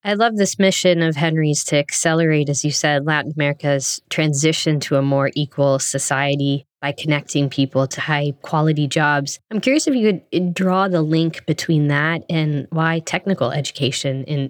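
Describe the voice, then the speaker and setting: A woman's voice: sits low, moderate pace, few pauses. A woman hosting an interview show, moving between scripted introductions and prepared questions for her guest. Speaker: podcast host and interviewer.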